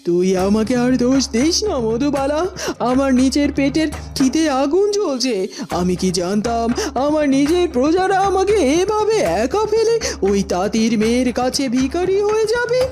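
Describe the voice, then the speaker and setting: A woman playing a proud, aggrieved queen in an animated fairy tale, haughty even in her misery. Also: sits high, moderate pace, music in the background. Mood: bitter, aggrieved. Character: proud, jealous queen, starving and deserted in her palace.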